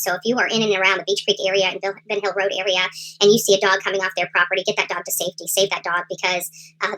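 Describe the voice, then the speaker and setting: A woman hosting a livestream in a casual, rambling commentary style with halting delivery. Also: mid-range voice, bright, forceful tone, a little hiss or hum, poor audio. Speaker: livestream host. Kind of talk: casual livestream chat, true crime commentary.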